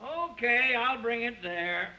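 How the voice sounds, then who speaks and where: Slow, high-voiced weary mailman. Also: lively intonation, sharp tone, full character voice. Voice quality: creaky. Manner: slow, drawn-out delivery. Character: weary, footsore, teasing mailman. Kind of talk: 1950s radio comedy acting. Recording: noisy vintage radio, studio audience.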